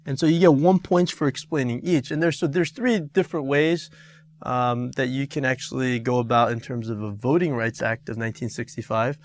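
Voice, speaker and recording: A middle-aged man reviews an exam answer for his students. He talks in halting stretches, full of "uh" and "um" and restarted phrases. His voice sits at a middle pitch for a man. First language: Korean. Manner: halting, many fillers and restarts. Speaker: teacher.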